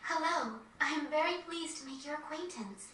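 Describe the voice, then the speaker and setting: Young game noblewoman, high clear-cut bright tone, poised, polite, deliberate, set back in a roomy space. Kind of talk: dramatic video game cutscene acting. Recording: roomy, distant game capture with faint noise.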